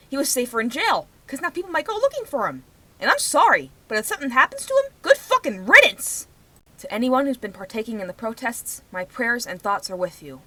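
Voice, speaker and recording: A woman's voice: medium pitch, pushed and bright. A young adult woman giving rambling personal commentary in a vlog, speaking in an emphatic, outspoken way. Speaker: vlogger.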